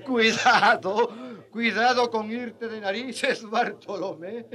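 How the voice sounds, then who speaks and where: An actor playing a haughty, zealous colonial bishop in a radio drama, pompous, scornful and declamatory. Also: male voice, sits high, takes it slowly, pushed and bright, exaggerated voice. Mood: mocking. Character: haughty, zealous, hard-handed colonial bishop, male villain.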